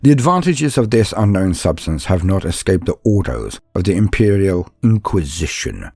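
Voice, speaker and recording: A man narrating a scripted story and guide in a theatrical, solemn storyteller's manner, with a husky voice. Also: low-pitched, big pitch swings, normal speed, a little mellow, dry recording. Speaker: narrator and channel host.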